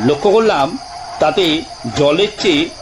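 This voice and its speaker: A man narrating an audio story in the first person, with theatrical, deliberate phrasing and frequent pauses. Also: a little high, background noise. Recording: music bed behind narration.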